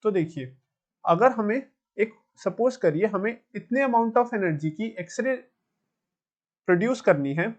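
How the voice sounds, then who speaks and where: A man's voice: high voice, lively intonation, a little slow, dull audio. A man with an Indian accent explaining step by step as a physics teacher, addressing students directly and mixing Hindi with English.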